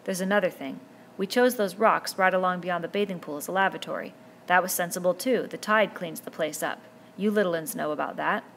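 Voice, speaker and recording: A woman's voice: fairly low pitch, brisk, fairly bright tone, slight background noise. A woman reading a novel aloud, voicing an earnest, commanding boy chief who pleads and insists as he lays down rules. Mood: urgent.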